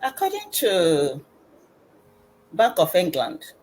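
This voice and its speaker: A middle-aged woman from Nigeria, an educationist and business consultant, speaking formally and deliberately on an online panel. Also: lowish voice, wildly swooping pitch, darkish tone, slight background noise, rough recording. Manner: slow, measured, formal delivery with pauses.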